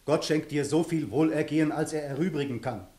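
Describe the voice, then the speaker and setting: An actor playing a stubborn, hurt young peasant fiancé in a classical 1960s radio play, blunt, gruff and defiant. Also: male voice, fairly high pitch, fairly quick, mellow, natural voice. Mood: wounded scorn. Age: young adult. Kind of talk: 1960s German-language radio play, classical stage acting. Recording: roomy 1960s radio studio.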